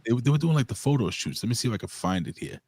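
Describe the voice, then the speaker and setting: A man with a New York accent hosting a casual wrestling review chat, excitable and swearing freely. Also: mid-range voice, very fast, breathy edge, no echo. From Puerto Rico. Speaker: show host.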